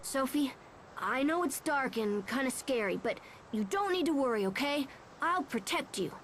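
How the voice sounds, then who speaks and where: A woman's voice: high-pitched, lively intonation, moderate pace, fairly bright tone, slight background noise. A woman voicing a brave, protective, cocky young boy hero in a video game, with an eager, plucky delivery. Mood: reassuring.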